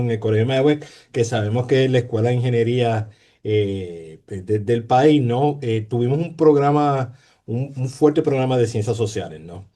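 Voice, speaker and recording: A man's voice: medium pitch, dark tone. A man with a Puerto Rican Spanish accent, a sociologist talking in an interview, hesitant, with many fillers, repeated words and tag questions. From Puerto Rico. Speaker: sociologist guest. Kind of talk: conversational interview.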